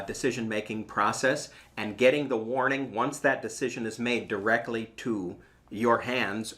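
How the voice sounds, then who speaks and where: A man's voice: medium pitch, a bit bright. A man speaking as a weather service meteorologist, explaining things informally and emphatically, with key phrases repeated. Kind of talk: explanatory interview talk.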